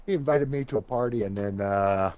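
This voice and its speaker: A man's voice: fairly low pitch, brisk, a little mellow. A man in his fifties playing along on a gaming stream, joking, teasing and poking fun at his own age. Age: 50s. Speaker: co-player on stream. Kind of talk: casual gaming banter.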